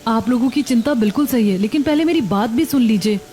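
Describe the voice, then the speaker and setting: A woman's voice: on the high side, animated, quick pace, warm tone, slight background noise, lightly acted. A woman playing a patient, honest young daughter-in-law who runs a food stall in a cartoon. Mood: earnest, reassuring. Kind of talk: cartoon dialogue acting. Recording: background music under voice.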